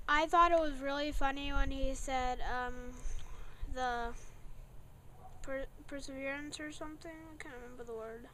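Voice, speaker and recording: A child being casually interviewed as a young guest reviewer, talking haltingly and searching for words. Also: high-pitched, steady tone, bright, forceful tone, a little hiss or hum.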